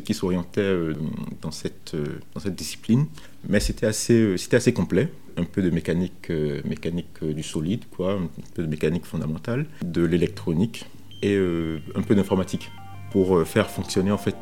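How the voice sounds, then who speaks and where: Low-voiced, mellow man from Benin. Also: soft background music. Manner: hesitant, halting delivery with frequent repetitions. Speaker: software developer interviewed about his career. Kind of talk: informal first-person career account.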